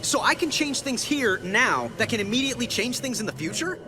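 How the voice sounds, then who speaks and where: A wisecracking young superhero. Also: male voice, very high-pitched, big pitch swings, background noise. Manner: joking, quick banter. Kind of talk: video game cutscene acting. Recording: game audio with loud music.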